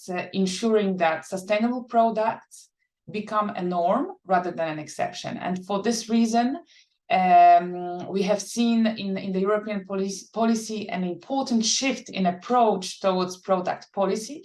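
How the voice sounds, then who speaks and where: Measured woman giving formal panel remarks. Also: mid-range voice, a little mellow. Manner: measured and formal, with filler pauses. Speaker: panelist, policy team leader. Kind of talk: prepared conference remarks.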